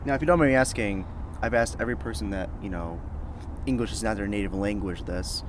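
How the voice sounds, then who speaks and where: A man's voice: lowish voice, fast talker. A man hosts a casual podcast interview, upbeat and curious, asking questions at an easy clip. Faint traffic hums behind his voice in the outdoor setting. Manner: upbeat, inquisitive. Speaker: podcast host.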